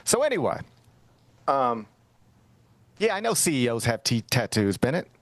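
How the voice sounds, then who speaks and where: Rambling middle-aged talk host, dry mic. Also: male voice, mid-range voice, normal speed, no echo. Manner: rambling storyteller, self-mocking, lively. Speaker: sports talk show host. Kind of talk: casual radio-style chat.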